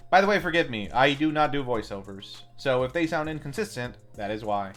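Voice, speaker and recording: Animated man narrating a deadpan movie recap. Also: mid-range voice, fairly quick. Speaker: film review host. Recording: music bed under the voice. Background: music.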